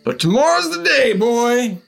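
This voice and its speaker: A man voicing a jovial, lazy father who works at a mill, speaking loud and raised. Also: very high-pitched, very animated, unhurried, vocal fry, faint music behind, pitched above the performer's own voice, heavily acted.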